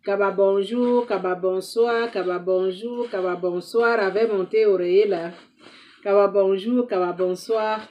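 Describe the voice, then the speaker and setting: A woman's voice: mid-range voice, fairly even intonation, a little slow, lo-fi sound. A woman hosting an advice video, lecturing her viewers in an emphatic, scolding way and repeating herself for effect. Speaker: advice-giving host. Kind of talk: moralizing monologue to viewers.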